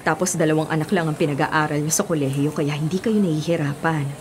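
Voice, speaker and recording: A woman's voice: low voice, fairly even intonation, fast talker, a little mellow, slight background noise, close to an everyday voice. A woman acting a hardworking, self-sacrificing middle-aged mother in a radio drama, earnest and principled. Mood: earnest, resolute. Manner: earnest, principled, pleading when pressed.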